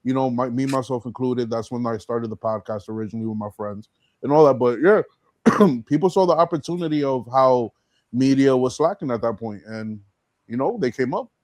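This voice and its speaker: Dude hosting a podcast, regular pitch, no room echo, super informal slangy hype-you-up chat. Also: male voice, brisk, darkish tone. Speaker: podcast host. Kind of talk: casual chat.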